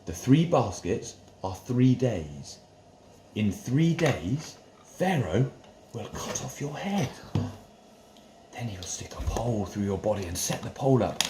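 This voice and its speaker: A man's voice: on the low side, big pitch swings, slow, reverberant, noisy background. A man voicing Joseph, an earnest young prisoner in a Bible story, speaking emphatically and pleadingly. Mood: grave.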